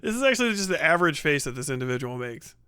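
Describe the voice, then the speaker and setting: Clear, higher-voiced man doing blunt livestream commentary. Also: sing-song, racing, bright, forceful tone, no echo, studio quality. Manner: blunt, heavy swearing. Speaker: livestream host. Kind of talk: casual livestream reaction commentary.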